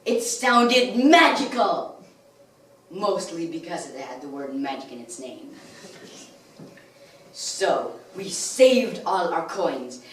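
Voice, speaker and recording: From across an echoey room with some background noise comes a grandiose, bitter villain played by a young girl. He tells his story in a slow, theatrical stage delivery, swinging widely in pitch between weighty pauses and swelling outbursts. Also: recorded from a distance. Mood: brooding storytelling. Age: child or young teenager, 13 or under. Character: melodramatic male soap-opera villain, bitter and grandiose crime lord.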